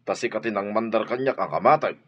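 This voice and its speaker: Low, grumbling husband played by a man. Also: fast talker, husky, highs cut off. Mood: wary, grumbling. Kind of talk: Ilocano audio drama acting.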